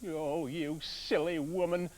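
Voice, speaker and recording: Brief: man playing a grumbling storybook woodcutter, quick to shout, very animated. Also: high voice, voice pushed up. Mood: angry. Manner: blustering, complaining. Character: hot-tempered poor woodcutter, middle-aged man.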